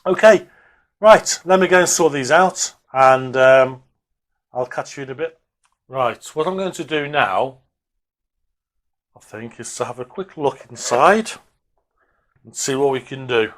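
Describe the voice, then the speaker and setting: A man talks casually at a medium pitch as he works at a hobby bench. His delivery is unscripted and hesitant, thinking aloud as he presents to viewers. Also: lively intonation, unhurried, pauses often, a bit bright. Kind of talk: casual unscripted workbench commentary.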